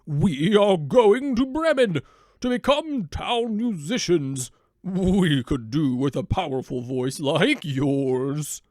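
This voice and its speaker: A hearty donkey out of a storybook, voiced by a man. He booms his words slowly and swings up and down, friendly and a little bossy. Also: expressive, a little mellow, no room sound, clean studio sound. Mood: encouraging. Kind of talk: animated storybook character voice.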